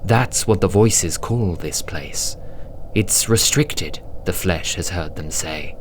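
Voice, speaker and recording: A man with a low voice narrates a dramatic audiobook. His delivery is slow, deliberate and suspenseful, hushed at times. Faint ambient noise sits behind him.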